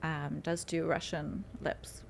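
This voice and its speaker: A woman from Canada talks as a guest doctor, laying out her work steadily with small "um" pauses. Her voice is on the low side. A faint noise sits behind her. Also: flat delivery, vocal fry. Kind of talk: casual interview chat.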